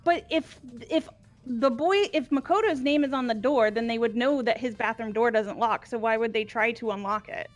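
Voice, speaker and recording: Woman, fairly high pitch, casual, questioning, reasoning through a game, soft background music. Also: moderate pace. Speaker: player in a group playthrough. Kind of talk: casual group discussion.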